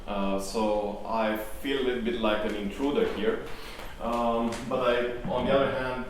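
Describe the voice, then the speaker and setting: A middle-aged man speaks to a conference audience, stopping often with ums and false starts. His voice sits at an ordinary pitch for a man. It is picked up from a distance in a very echoey hall with faint background noise. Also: little pitch movement, unhurried. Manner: hesitant, many ums and restarts. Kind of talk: live conference talk.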